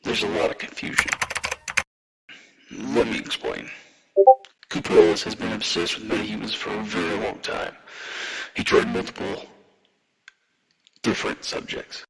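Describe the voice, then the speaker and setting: A man playing a calm, serious veteran operative in an audio drama, explaining things in a measured way. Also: lowish voice, vocal fry, background noise. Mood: calm, grave. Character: calm, grave veteran male metahuman operative.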